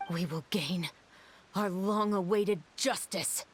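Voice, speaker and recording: An actress playing a stern, coolly defiant young musketeer in a video game, speaking in a solemn, dramatic way. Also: female voice, fairly low pitch, takes it slowly, a little hiss or hum. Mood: solemn resolve.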